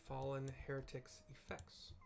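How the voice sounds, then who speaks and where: A man's voice: medium pitch, monotone, a little slow, vocal fry, darkish tone, faint music behind. A man chatting casually while playing and describing a game, rambling with lots of fillers and self-deprecating asides, at a home computer. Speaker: game streamer narrating for blind viewers. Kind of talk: casual gameplay chat and description.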